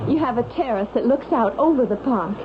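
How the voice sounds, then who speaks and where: An actress playing a dutiful grown daughter, anxious to please, in a 1970s radio drama. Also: female voice, on the high side, lively intonation, fairly quick, lightly acted. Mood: eager, placating. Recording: tinny, noisy vintage radio recording.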